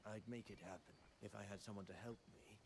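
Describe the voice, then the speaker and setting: An actor playing a gruff male video game character who speaks in a flat, clipped way. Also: on the low side, fast, close to an everyday voice. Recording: game audio with background noise.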